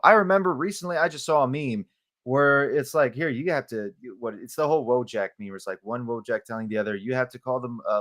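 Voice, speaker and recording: A Colombian man whose first language is Spanish, speaking as a guest on a podcast. He talks with passion, pushing his voice up on key points and stumbling when he gets heated. His pitch is average for a man. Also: a bit bright.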